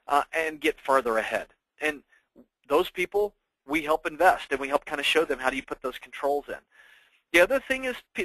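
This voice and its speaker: A man's voice: on the high side, fairly bright tone, no echo, low-quality recording. A man presenting to investors as a financial planner, explaining things informally and conversationally with everyday examples.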